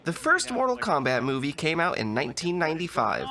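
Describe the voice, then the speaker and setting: A man narrates a scripted comedic video essay with dry, sarcastic deadpan. His voice sits a little high and is bright and crisp-edged, with very lively rises and falls over music. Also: a little fast.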